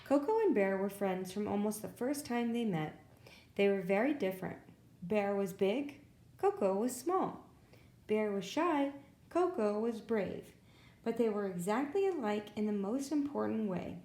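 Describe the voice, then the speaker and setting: A woman reading a picture book aloud to preschoolers as a story time host, in an expressive, simple way. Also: mid-range voice, unhurried. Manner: expressive, simple delivery for young children. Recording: roomy, echoey sound.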